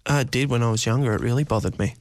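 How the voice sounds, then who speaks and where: A man's voice: medium pitch, rapid-fire, dry recording, clean studio sound. A man in his twenties being interviewed, speaking personally in a hesitant, reflective way. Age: 20s.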